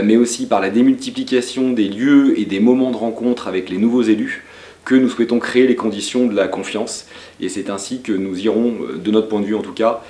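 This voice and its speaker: Mellow, low-voiced man in an echoing room. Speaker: interviewed local government chief executive. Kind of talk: formal interview answers. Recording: roomy, echoing sound.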